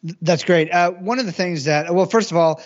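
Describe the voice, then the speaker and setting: A man's voice: high voice, fairly quick, dull audio. A man hosting a podcast, reading a guest's bio and then asking questions in an enthusiastic, slightly stumbling way. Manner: enthusiastic, a little stumbling with repeated words. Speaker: podcast host.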